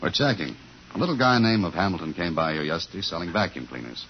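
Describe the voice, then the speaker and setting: An actor playing a tough, world-weary police detective in a 1950s radio drama, clipped and deadpan. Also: male voice, deep voice, quick pace, slight background noise. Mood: dry pressing. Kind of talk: 1950s radio drama, hard-boiled narration. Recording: dull old 1950s radio recording, music.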